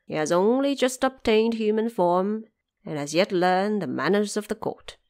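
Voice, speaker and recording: Lady doing a calm formal emperor of heaven, lenient ruler, mid pitch, audio drama. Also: moderate pace, natural voice. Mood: calm authority.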